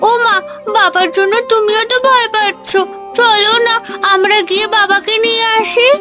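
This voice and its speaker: A woman playing an anxious, devoted little village girl in an animated cartoon story. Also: very high voice, normal speed, loud music behind the voice. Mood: worried. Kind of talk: animated story character dialogue.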